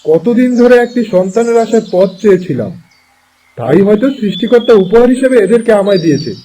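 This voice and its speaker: A tender, excitable cartoon king with a high, mellow voice. He speaks theatrically and full of wonder, with lively swings in pitch. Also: fast, a little hiss or hum. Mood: awed, joyful. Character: tender, excitable fairy-tale king longing for children. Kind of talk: cartoon fairy-tale voice acting.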